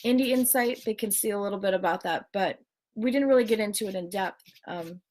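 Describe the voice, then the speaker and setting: A woman's voice: medium pitch, brisk, darkish tone. A woman hosting an interview, friendly, with hesitant restarts. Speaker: host. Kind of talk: casual interview over video call.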